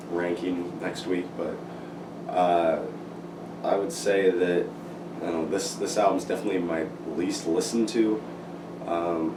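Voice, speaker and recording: Casual male reviewer, very low pitch, halting delivery, voice still worn; roomy, distant, noisy recording. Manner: rambling, hesitant, many ums and likes. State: recovering from losing his voice. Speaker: music reviewer. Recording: echoey room, distant mic, noisy.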